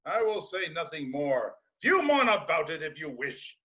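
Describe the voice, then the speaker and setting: Casting: male actor as aged blind seer, high forceful voice, ominous, defiant, declaiming classical tragedy. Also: very animated, moderate pace. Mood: stubborn, indignant. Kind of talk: dramatic classical Greek tragedy reading.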